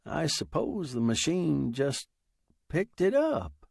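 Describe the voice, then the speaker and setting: A man's voice: fairly high pitch, very animated, slow pace, gravelly, darkish tone, husky, dry recording, studio quality, pitched above the performer's own voice, heavily acted. A man voicing a fat old male pawnbroker in a story, tired, furtive and resigned. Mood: forlorn, explaining.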